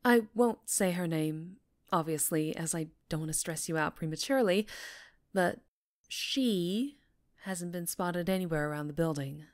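A woman's voice: lowish voice, unhurried, close to an everyday voice. A woman playing a polished, encouraging female talk show host in a scripted ASMR roleplay, articulate and reassuring with a showy presenter's delivery. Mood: reassuring, upbeat.

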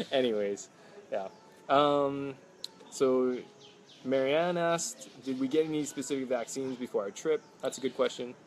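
A man's voice: medium pitch, takes it slowly, long pauses, a little hiss or hum. Upbeat man chatting on a livestream. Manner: upbeat, animated storyteller, many "like"s. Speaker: travel vlogger. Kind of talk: casual live chat. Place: outdoors at a home stay patio. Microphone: phone held close.

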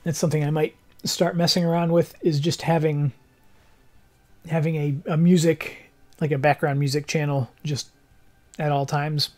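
A young adult man who streams games, chatting casually in a rambling, technical way, with a slightly hoarse voice. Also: a little high, little pitch movement, moderate pace. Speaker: game streamer. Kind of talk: casual livestream chat. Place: living room, seated in armchair indoors.

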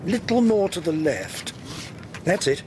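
A flustered, higher-voiced gentleman. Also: sing-song, unhurried, background noise. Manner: hesitant, polite, flustered. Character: flustered, apologetic gentleman witness, anxious to be exact. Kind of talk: radio drama acting.

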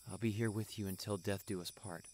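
A man playing a tender, devoted young boyfriend in a romantic roleplay audio, speaking softly and earnestly. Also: fairly low pitch, fairly even intonation, a little hiss or hum, close to an everyday voice. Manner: soft, tender, earnest, unhurried.

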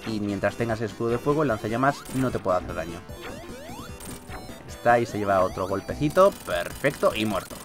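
A man's voice: on the low side, lively intonation, brisk. A man with a Peninsular Spanish accent doing casual, joking, mildly sweary Let's Play commentary as a gaming video host. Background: video game music.

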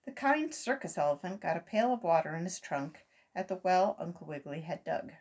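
Husky older woman reading a storybook. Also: lowish voice, sing-song, fairly quick, a little mellow. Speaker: volunteer children's story reader. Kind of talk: expressive storybook read-aloud. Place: small room at home.

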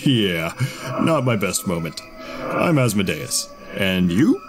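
Man playing an animated, easygoing captive demon. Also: deep voice, slow, over music, exaggerated voice. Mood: bewildered. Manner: casual, slangy. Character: male demon prisoner, friendly, casual, bewildered. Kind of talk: audio fiction acting.

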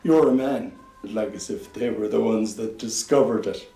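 A man's voice: lowish voice, moderate pace, dark tone, soft background music. An Irish man in his sixties reminiscing in an interview as a former pantomime performer. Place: home kitchen. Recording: roomy echo, slightly distant mic.

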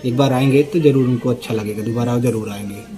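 A man's voice: mid-range voice, steady tone, fairly quick, warm tone, soft background music. A middle-aged man shopper giving a plain, matter-of-fact answer to a reporter inside a store, into a handheld mic. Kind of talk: vox pop interview. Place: inside a large retail store.

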